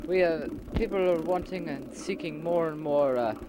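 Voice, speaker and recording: A man playing an earnest leader in an amateur philosophical audio drama, explaining his society formally and haltingly on a homemade nineties recording. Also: a little high, heavy background noise, close to an everyday voice. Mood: earnest, explanatory. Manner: halting, explanatory, formal wording with many hesitations.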